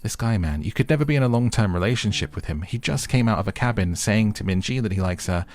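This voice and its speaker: Low-voiced man narrating with wry commentary. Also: animated, fast talker, a little mellow. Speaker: recap host and reviewer. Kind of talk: scripted narration, opinionated show recap.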